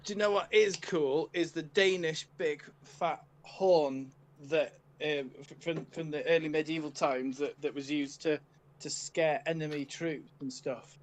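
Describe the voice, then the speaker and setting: A man's voice: on the high side, fairly even intonation, a little slow, a little hiss or hum, rough recording. A man chatting eagerly on a group podcast, often stammering and restarting his sentences. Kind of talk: casual group chat.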